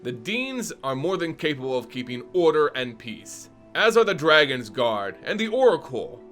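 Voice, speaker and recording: A calm, ominous old dragon, as a male narrator puts her on speaks in a lofty, measured way. The voice stays bright and fairly high, with a lively rise and fall. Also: soft background music. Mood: grave. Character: ancient female dragon, calm, grave, ominous. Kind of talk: dramatic story reading.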